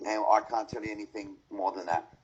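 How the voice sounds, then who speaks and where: A man with an Australian accent talking casually on a podcast panel, emotional, heartfelt and halting, with a husky voice. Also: on the low side, flat delivery, lo-fi sound, slight background noise.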